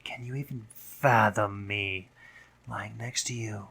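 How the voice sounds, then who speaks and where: A courtly, dominant male spider monster, acted by a man for an intimate audio roleplay. The voice is lowish and husky with a forceful edge, and often sinks into breathy whispers. The delivery is theatrical and teasing, with affection underneath. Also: fairly even intonation, slow, full character voice. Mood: seductive teasing. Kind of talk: intimate scripted audio roleplay, addressing the listener.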